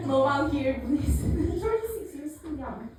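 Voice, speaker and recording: A woman's voice: high voice, expressive, takes it slowly, background noise. A woman in her thirties doing a dry, self-mocking stand-up routine, full of fillers and small laughs. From Turkey. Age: 30s. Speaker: stand-up comedian. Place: live comedy venue, audience laughing. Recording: echoey room picked up from far off.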